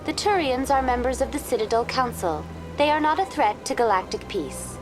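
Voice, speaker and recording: A high, courteous female guide program for a sci-fi video game. She delivers information in measured, formal phrases and stays impersonal and unflappable. Music plays clearly behind her. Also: lively intonation. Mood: politely informative.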